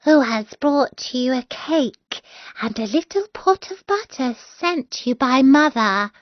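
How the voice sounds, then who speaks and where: A sly, wicked wolf, put on by a woman reading a storybook, hungry and scheming. The voice leaps up and down in pitch and moves slowly. The recording sounds dull and lo-fi. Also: animated, no room sound. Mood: falsely sweet. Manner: sly, fakes a sweet voice.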